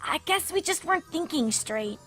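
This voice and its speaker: A young cartoon pony filly, earnest and sheepish. The voice is very high and bright, with expressive pleading, over soft background music in an audio drama. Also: female voice, moderate pace. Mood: sheepish, remorseful. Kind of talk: fan fiction audio drama.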